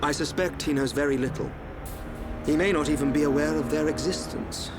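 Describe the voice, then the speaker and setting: An actor playing a calm, enigmatic young royal seer in a fantasy game drama, speaking formally and with measured composure. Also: male voice, fairly high pitch, over music, natural voice. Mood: calm gravity.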